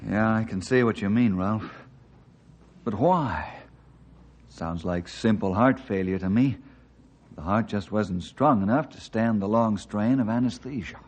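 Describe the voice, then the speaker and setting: An actor playing a curious, reasonable ranger in old-time radio drama style. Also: male voice, fairly low pitch, a little slow, a little mellow. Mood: reasoning.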